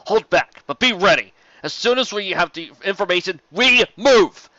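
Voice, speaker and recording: A man's voice: high voice, expressive, normal speed, bright, forceful tone, no room sound, muffled recording, voice pushed up. A man voicing a stern pony guard lieutenant who gives urgent, clipped orders. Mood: tense, firm.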